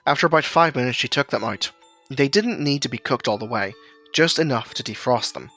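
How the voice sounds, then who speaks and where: Bright-voiced man narrating an audio story. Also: mid-range voice, brisk, soft background music.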